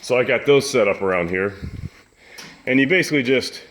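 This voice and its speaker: A man with a loud voice, casually reviewing a fan in his home gym, rambling with lots of fillers and restarts. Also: medium pitch, a little fast, a little hiss or hum. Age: adult with children. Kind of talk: casual product review vlog.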